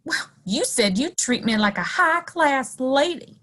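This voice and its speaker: A woman plays a wronged Old West saloon woman with plenty of fight. Her voice is fairly high, sassy and scornful, in radio-drama style. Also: lively intonation, measured pace, sharp tone, exaggerated voice. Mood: indignant. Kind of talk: audio play acting, western.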